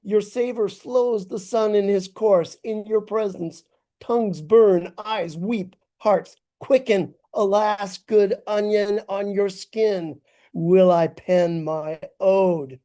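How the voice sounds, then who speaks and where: A man reads his own poetry over a video call, lingering on each phrase at an unhurried pace. His voice is mellow and soft-edged, and his delivery is deliberate and declamatory. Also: high-pitched, steady tone. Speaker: poet reading his work. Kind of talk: dramatic poetry reading.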